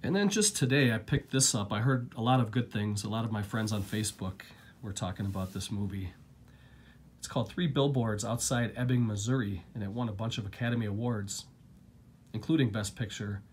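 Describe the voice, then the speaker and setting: Man, low voice, easygoing home vlogger with a cough, faint room hiss. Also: brisk. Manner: relaxed, rambling, filler-heavy. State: getting over a cold, coughing. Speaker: collector vlogger. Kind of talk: casual unboxing chat.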